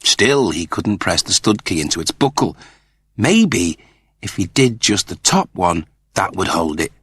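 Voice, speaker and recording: A man with a fairly low, husky voice narrates a piece of fiction. His delivery is theatrical and animated, shaped like a dramatic audiobook reading. The sound is very dry, with no room around the voice. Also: unhurried, no room sound.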